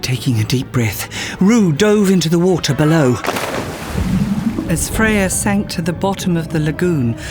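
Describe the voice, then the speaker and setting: A storyteller giving an expressive scripted reading of a children's fairytale, with music underneath. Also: mid-range voice.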